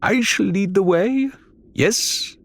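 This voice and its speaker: A man voicing an excitable, grandly eloquent male adventurer in an audiobook, theatrical and exclamatory. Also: high voice, takes it slowly, no echo, voice pushed up. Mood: playful, confident.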